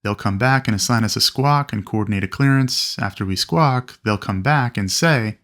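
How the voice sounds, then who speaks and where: A man narrating an instructional lesson as a flight instructor, explaining things clearly and methodically. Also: lowish voice, normal speed, a bit bright. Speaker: flight instructor presenting a training video. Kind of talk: instructional narration with acted radio calls.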